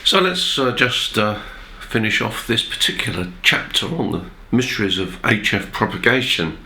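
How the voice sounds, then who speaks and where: A man presents an informal explainer for radio hobbyists. His voice sits fairly low but has a bright, forward edge, made nasal by a cold. He talks conversationally and hesitantly, as if chatting to his viewers. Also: big pitch swings, moderate pace. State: has a cold, throat-clearing.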